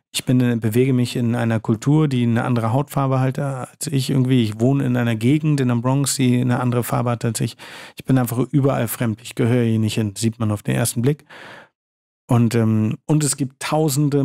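A man's voice: mid-range voice, warm tone, dry recording, clean studio sound. A man talking as an interview guest in casual, slangy, colloquial speech. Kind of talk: casual chat.

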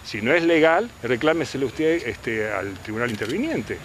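A man's voice: medium pitch, sing-song, normal speed, a loud crowd. A man in his sixties, a defense lawyer talking to reporters outdoors into handheld mics, insistent and full of rhetorical questions. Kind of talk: press interview. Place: outdoors by a detention unit. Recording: outdoor street noise.

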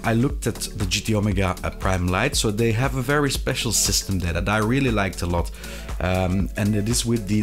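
A man in his thirties presenting a product review to camera, with a hesitant, halting delivery and frequent pauses. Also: on the low side. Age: 30s. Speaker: video reviewer of sim racing gear. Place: small indoor hobby room.

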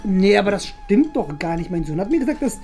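A man's voice: high voice, big pitch swings, brisk, a little mellow, faint music behind. A man playing a chatty, rambling father who dotes on his son and boasts about him in an improvised comedy sketch. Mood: patronising, boastful. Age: young adult. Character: chatty, boastful, doting father visiting his son's classroom. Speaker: performer in a school comedy sketch. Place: small bright classroom indoors.

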